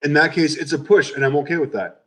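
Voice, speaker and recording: A man's voice: fairly high pitch, fast, bright, forceful tone. A man co-hosting a casual betting show, confidently giving his picks and repeating his main points. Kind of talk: casual chat.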